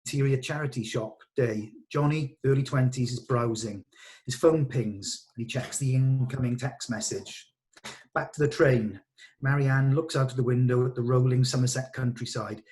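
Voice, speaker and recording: A man's voice: medium pitch, fairly even intonation, moderate pace, a little mellow, rough recording. A man, speaking as a director in an informal rehearsal, in a hesitant, thoughtful and encouraging way. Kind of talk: informal rehearsal discussion, some reading of stage directions.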